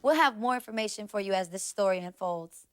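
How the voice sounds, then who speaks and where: A woman's voice: mid-range voice, music in the background, natural voice. Composed American newsreader, played by a woman, game cutscene, formal bulletin delivery, mid-range voice. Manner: formal, measured. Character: composed female television news anchor. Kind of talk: television news reading.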